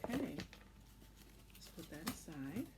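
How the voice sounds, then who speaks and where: A woman's voice: deep voice, very animated, a little slow. A woman instructor casually explaining a children's craft step by step in an informal, reassuring way. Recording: clearly noisy home recording.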